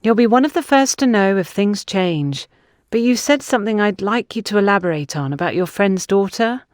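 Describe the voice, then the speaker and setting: A woman's voice: mid-range voice, expressive, moderate pace, a little mellow, no echo, studio quality, natural voice. A woman voicing a direct, questioning younger woman in an audiobook. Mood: wary curiosity. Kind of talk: audiobook character dialogue.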